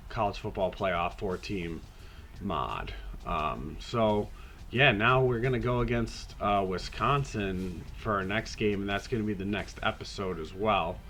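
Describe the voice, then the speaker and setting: A man hosting a podcast, talking casually through a video game season in a raspy voice, hoarse from a cold, laid-back and rambling with the odd swear. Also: lowish voice, a bit bright, a little hiss or hum. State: hoarse, getting over a cold. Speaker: podcast host.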